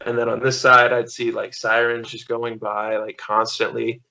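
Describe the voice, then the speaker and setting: An American man in his thirties chats casually as an interview guest. His voice is forward and sharp with plenty of fry, and he rambles as he tells stories. The sound is a little dull, like a video call. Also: medium pitch, level tone, moderate pace. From small-town Missouri, USA. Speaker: podcast guest, stuntman and actor. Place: living room at home, on video call.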